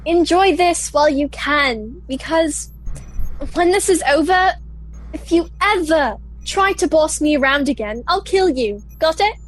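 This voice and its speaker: A woman's voice: high voice, expressive, measured pace, low-quality recording. A young woman playing a sullen, insecure and defiant teenage girl pilot in a sci-fi radio play. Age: young adult.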